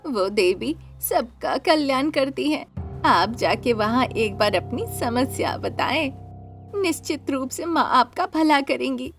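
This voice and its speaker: A woman voicing a humble, kindly beggar in a dramatised story, soft-spoken and imploring, with music behind. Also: high-pitched, expressive, slow, heavily acted. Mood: kindly, reassuring. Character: gentle, humble beggar, fatherly and devout. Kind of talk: dramatised Hindi audio story.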